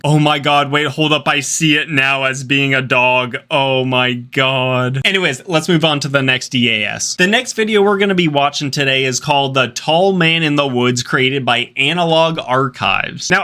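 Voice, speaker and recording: A man's voice: fairly high pitch, sharp tone. A young man hosting a reaction video with slangy, joking, exclamatory casual commentary.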